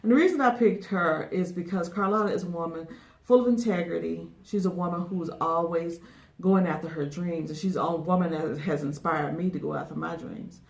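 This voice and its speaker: A woman's voice: on the low side, moderate pace, gravelly, distant mic. Woman host heard from across a roomy space. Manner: upbeat introductions, short encouraging backchannels. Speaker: channel host, interviewer. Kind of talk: video interview hosting. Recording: roomy sound, voice off-mic.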